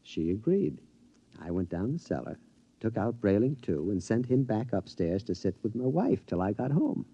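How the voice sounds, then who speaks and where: An actor playing a henpecked husband with a gleeful secret scheme in an old radio drama, excitable, stammering and conspiratorial. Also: male voice, on the low side, moderate pace, husky. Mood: conspiratorial, hushed. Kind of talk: mid-century radio drama acting. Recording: dull, noisy vintage radio recording.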